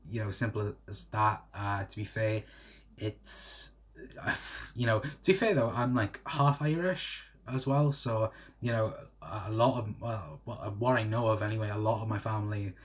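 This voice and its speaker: A man's voice: lowish voice, measured pace. A man in his twenties from South Wales with a Welsh accent, chatting casually on a livestream and reading out viewer comments, rambling with lots of fillers. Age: 20s. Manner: rambling, many fillers and "to be fair". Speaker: livestream host.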